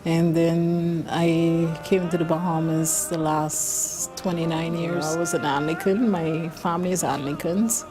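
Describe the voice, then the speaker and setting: A woman's voice: low-pitched, little pitch movement, a little slow, few pauses. A middle-aged woman from Barbados with a Caribbean accent, telling a personal story in an interview. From Barbados, born in Saint Vincent. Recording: faint music behind the voice.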